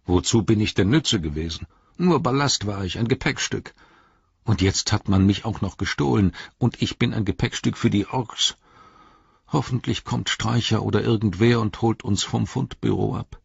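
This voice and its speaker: A man voicing a frightened, cold young male hobbit held captive, asking himself anxious, self-reproaching questions in a husky voice. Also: fairly low pitch, normal speed, no echo, muffled recording, voice pushed up, exaggerated voice. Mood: worried. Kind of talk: audiobook character voice.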